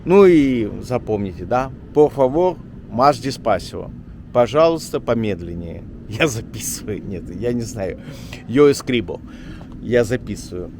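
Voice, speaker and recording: A man talking casually as a journalist vlogger on a walk through an old town street, rambling and chatty, often pausing on filler words. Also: medium pitch, lively intonation, a little mellow, a little hiss or hum. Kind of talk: casual walking vlog chat.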